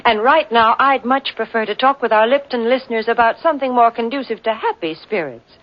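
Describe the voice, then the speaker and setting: An actress playing a cheerful announcer reading a live tea advertisement on a 1940s radio show. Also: female voice, fairly high pitch, brisk, lightly acted. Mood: cheery. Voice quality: bubbly, lilting sales delivery. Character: cheerful woman announcer pitching tea on air. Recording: muffled old radio broadcast.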